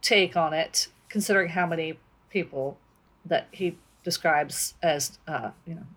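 A woman co-hosting a book discussion, talking casually in a measured, deliberate way. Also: low voice, fairly bright tone.

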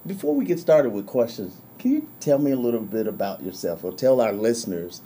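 A man hosting a podcast interview, affirming and encouraging, quick to laugh along. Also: medium pitch, very animated, mellow, a little hiss or hum. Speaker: podcast host.

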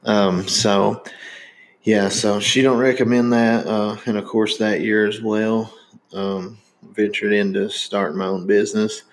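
A man's voice: fairly low pitch, level tone, unhurried, poor audio. A man in his thirties from Indiana with a Southern accent, hosting a podcast in casual, rambling chat. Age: 30s. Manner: rambling, many fillers and restarts. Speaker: podcast host.

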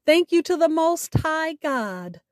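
Lady radio host doing spoken word, really slow n deliberate, dry close sound, dramatic pauses. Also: high voice, big pitch swings, dry recording. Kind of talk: scripted sign-off and spoken-word poetry.

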